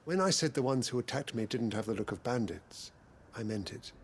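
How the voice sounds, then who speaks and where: An actor playing a dutiful, worried investigator in a fantasy video game, formal and courteous. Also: male voice, medium pitch, lively intonation, fairly quick, a little hiss or hum, close to an everyday voice. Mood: grim reporting.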